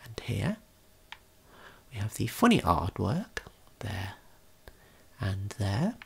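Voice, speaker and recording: A tour guide giving a gentle, unhurried ASMR tour in a breathy whisper, explaining things as they go. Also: sits low, big pitch swings.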